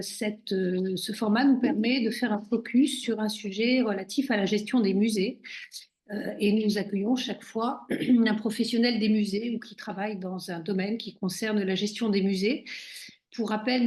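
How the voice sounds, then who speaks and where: A woman hosting a webinar, introducing the session and its guest in a halting way with frequent fillers. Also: medium pitch, a little mellow. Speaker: host.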